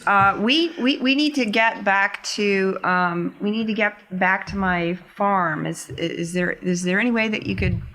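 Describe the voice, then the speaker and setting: Expressive woman with a mid-pitched voice. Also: unhurried, few pauses. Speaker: player. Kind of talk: casual tabletop game banter.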